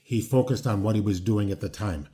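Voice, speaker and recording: A man's voice: on the low side, brisk, warm tone. A man in his sixties chatting casually as a podcast host, rambling with many fillers and restarts. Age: 60s. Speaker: podcast host, former wrestler. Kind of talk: casual interview chat.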